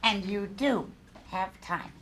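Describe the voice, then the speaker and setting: Woman acting a blunt, slow-talking old lady. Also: medium pitch, fairly bright tone. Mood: wistful, grumbling. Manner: blunt, unhurried, nostalgic. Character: blunt, crazy old widowed female restaurant worker Gertrude. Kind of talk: live comedy table read. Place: theater stage, live show. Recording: live stage recording, faint background noise.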